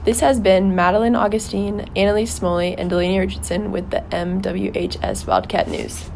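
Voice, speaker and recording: A teenage girl narrating a school news report in a steady, measured read. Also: medium pitch, gravelly, a little hiss or hum. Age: teens. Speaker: student reporter.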